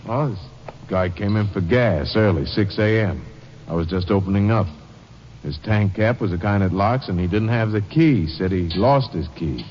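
An actor playing a wary gas station owner under suspicion, in a 1940s radio drama, on a dull old recording with faint hiss and long reverb. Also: male voice, fairly low pitch, animated, normal speed, close to an everyday voice. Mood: explaining.

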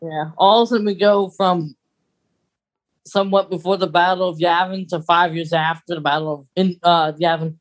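A woman's voice: fairly low pitch, steady tone, moderate pace, rough recording. A woman co-hosting a podcast, talking casually as part of a review conversation. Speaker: podcast co-host. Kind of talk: casual review chat about a radio drama.

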